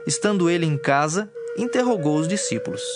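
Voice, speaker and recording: A man's voice: fairly high pitch, animated, brisk, background noise. A man with a Brazilian accent narrating a dramatized scripture in a scripted storytelling style.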